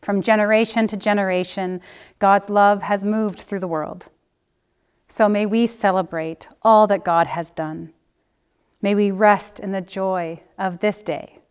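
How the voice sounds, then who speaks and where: A woman minister leading a scripted church prayer in a measured, reverent way, phrase by phrase. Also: medium pitch, steady tone, clear, resonant tone, no room sound, studio quality.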